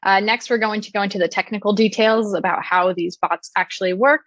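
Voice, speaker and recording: A woman giving a technical conference presentation, explaining clearly with frequent "uh" and "um" fillers. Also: mid-range voice, fairly even intonation, brisk, a bit bright, dry recording, highs cut off. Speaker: conference speaker.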